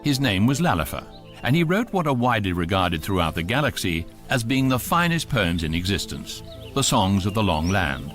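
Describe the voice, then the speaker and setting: A man's voice: fairly low pitch, very animated, moderate pace, fairly bright tone. A man with a British accent as the urbane, wry voice of a galactic guidebook, narrating a scripted radio comedy. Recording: faint music under the voice.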